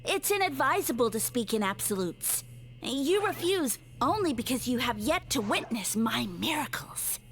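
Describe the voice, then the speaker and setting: A snooty villain mushroom lord for a game, high and swoopy, all grand and condescending, bit of music behind. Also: female voice, sing-song, normal speed, fairly bright tone, full character voice. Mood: grandiose. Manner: haughty, theatrical, condescending. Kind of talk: game voice acting.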